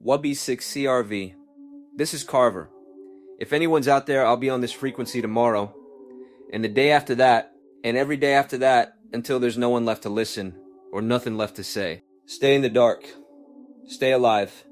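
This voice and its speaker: An actor playing a lone, weary but steadfast male survivor sending out a radio transmission in an audio drama, with deliberate delivery and music behind him. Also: medium pitch. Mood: weary, hopeful.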